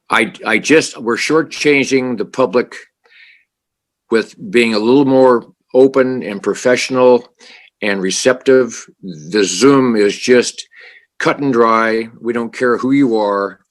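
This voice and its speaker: A councilman with a loud voice, earnest and halting, who stumbles over his own starts. His pitch sits in an ordinary middle range, and he comes through a remote video call. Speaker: city councilmember. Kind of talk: council meeting discussion.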